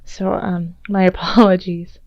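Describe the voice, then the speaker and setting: A woman with a slightly raspy voice talks through a tarot spread in a loose, rambling way with plenty of fillers. Under the rasp her voice is smooth and clear. The recording is dry, with little room sound, and a little dull on top. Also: lowish voice, little pitch movement, unhurried, a little mellow, no echo. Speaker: tarot reader. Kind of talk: casual tarot reading.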